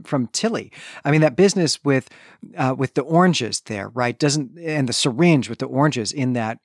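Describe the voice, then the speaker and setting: A man's voice: medium pitch, dry recording, studio quality. A man co-hosting a literary podcast, explaining and analysing conversationally, thinking aloud in long, winding sentences. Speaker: co-host of literary discussion podcast.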